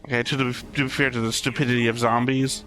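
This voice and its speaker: A man hosts a reaction show in a medium-pitched voice. His commentary is casual and conversational. Music plays faintly behind him. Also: level tone, fast talker, a bit bright.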